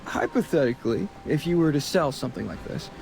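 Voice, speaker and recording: A man's voice: a little high, lively intonation, fast talker, gravelly, darkish tone, a little hiss or hum, low-quality recording. A man voicing a roguish, charming, chatty sea adventurer in a fantasy game, with a breezy, self-assured way of talking. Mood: breezy, friendly. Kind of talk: voiced dialogue in a fantasy game.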